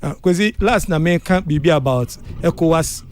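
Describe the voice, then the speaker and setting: Man, radio panel, slightly higher voice, agreeable, short points. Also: brisk. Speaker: radio entertainment show panelist.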